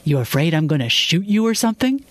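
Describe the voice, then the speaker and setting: A woman's voice: mid-range voice, sing-song, moderate pace, slightly rough, no room sound, voice pushed up. A woman voicing a bitter, wounded, sarcastic woman in a dramatic audiobook, with emphatic, cutting delivery. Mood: defensive, challenging. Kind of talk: dramatic audiobook character dialogue.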